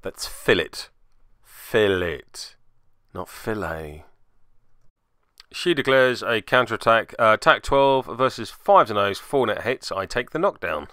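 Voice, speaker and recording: A man's voice: fairly low pitch, animated, measured pace, pushed and bright, no room sound, clean studio sound. A man hosting and narrating a tabletop game move by move, with theatrical flourishes and wry asides. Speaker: podcast host and player.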